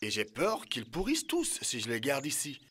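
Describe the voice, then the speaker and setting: Lively old village man. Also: medium pitch, moderate pace, a little hiss or hum. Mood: surprised, genial. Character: kindly old village man with surplus fruit. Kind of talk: animated educational cartoon dialogue.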